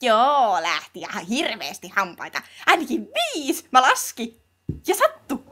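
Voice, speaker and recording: A woman's voice: on the high side, wildly swooping pitch, fairly bright tone, heavily acted. A woman voicing a blunt, innocent little girl in a comedy cartoon dub, with a naive, childlike way of talking. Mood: innocent, plaintive.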